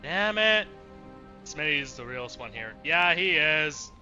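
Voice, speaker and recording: Male let's-player, high and very lively, profane, exasperated, reactive live commentary. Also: slow, dull audio, faint music behind. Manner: exasperated, reactive, swears freely. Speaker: video game streamer.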